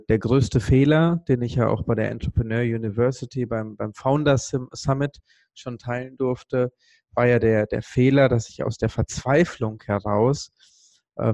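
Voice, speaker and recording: A man delivers an earnest motivational monologue in a mellow, relaxed voice at a middling pitch. He leans on key words and pauses to let them land. The sound is dry and close, as on a podcast. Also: vocal fry, no room sound. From Sri Lanka. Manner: earnest, emphatic, pausing for weight. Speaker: speaker on a business podcast. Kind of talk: motivational monologue with personal story.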